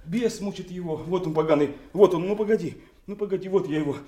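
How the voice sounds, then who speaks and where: An actor playing a frantic, pitiful mad beggar haunted by demons, rambling and chanting in a theatrical radio play. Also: male voice, high-pitched, fast talker, mellow, echoey room, natural voice. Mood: pitiful raving. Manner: rambling, chanting, erratic outbursts. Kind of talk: theatrical radio drama acting.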